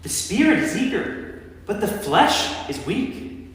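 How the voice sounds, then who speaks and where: A man's voice: high-pitched, very animated, takes it slowly, full character voice. A man playing an anguished yet resolute Jesus in a staged church reading of scripture, praying and rebuking. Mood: urgent, reproving. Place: church, recorded from a distance. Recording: very echoey church, distant mic, long reverb.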